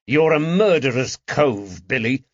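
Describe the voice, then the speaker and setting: A man's voice: mid-range voice, animated, a little slow, dry recording, highs cut off, pitched above the performer's own voice. Man playing a stern, barking police constable. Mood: furious, accusing. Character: gruff, furious male police constable interrogating suspects. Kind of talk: audiobook character acting.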